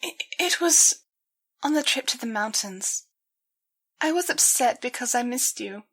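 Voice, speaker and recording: A woman's voice: fairly high pitch, expressive, measured pace, a bit bright, pitched above the performer's own voice, exaggerated voice. A woman voicing a guilt-ridden young female pony, stammering and hesitant as she forces out an apology in an audio storybook. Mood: distraught, tearful.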